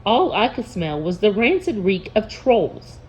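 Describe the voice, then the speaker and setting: A woman's voice: medium pitch, very animated, a little slow, a little mellow, muffled recording. A woman narrating a children's story expressively, as a teacher reading a book aloud.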